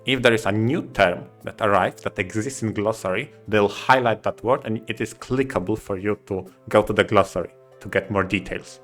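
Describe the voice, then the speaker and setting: Low-voiced man co-hosting a podcast. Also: a little fast, few pauses, fairly bright tone, faint music behind. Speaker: podcast co-host. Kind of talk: casual opinion chat.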